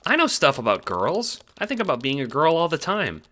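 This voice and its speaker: A man voicing an excitable, awkward teenage boy in an audio drama, stammering and exclaiming. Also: on the high side, brisk, noisy background, voice pushed up. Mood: awkward, defensive.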